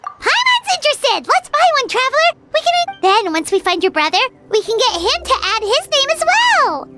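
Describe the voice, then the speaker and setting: Piercingly high, squeaky little fairy girl. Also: huge pitch swings, normal speed, over loud music, full character voice. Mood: excited. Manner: refers to self in third person, squeaky and exclamatory. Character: small floating fairy-like girl companion, excitable and greedy. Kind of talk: video game voice acting.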